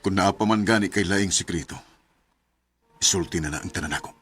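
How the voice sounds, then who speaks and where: A man's voice: low voice, brisk, a bit bright, husky. An actor playing a wounded, devoted young man in a radio drama, with a Cebuano Filipino accent. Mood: earnest, tender. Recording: music bed behind the voice.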